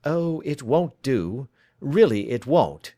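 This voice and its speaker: A man reading the part of a calm, sharp-witted male detective, suave and precise as he lays out his reasoning. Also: medium pitch, slow, dry recording, studio quality. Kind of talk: audiobook reading.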